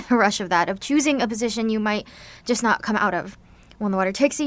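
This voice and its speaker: A woman's voice: mid-range voice, natural voice. A woman playing a sarcastic, anxious seventeen-year-old supervillain, speaking theatrically in an audio drama monologue. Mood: anxious, confessional.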